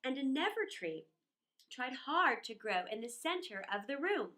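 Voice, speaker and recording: A woman reading a children's story aloud in an expressive, playful, theatrical manner with chatty asides. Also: high voice, moderate pace.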